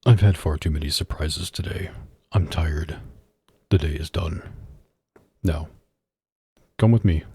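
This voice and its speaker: A man's voice: rumbling low, mellow, husky, exaggerated voice. A man playing a cold, possessive mafia boss in an ASMR roleplay, speaking in a stern, menacing, controlled way straight to the listener. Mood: tired, possessive. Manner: slow, stern, menacing and controlled, with long pauses.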